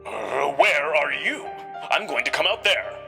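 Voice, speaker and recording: A man voicing a hot-tempered, protective wolf prince in a forceful, indignant manner. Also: on the high side, lively intonation, measured pace, vocal fry, big-room sound, music in the background, voice pushed up. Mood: angry.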